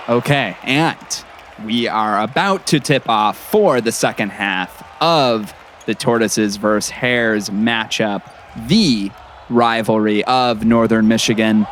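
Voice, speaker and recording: An actor playing an earnest small-town play-by-play announcer calling a basketball game in a measured announcer's manner. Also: male voice, mid-range voice, fairly bright tone, a little hiss or hum. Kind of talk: basketball play-by-play in an audio drama.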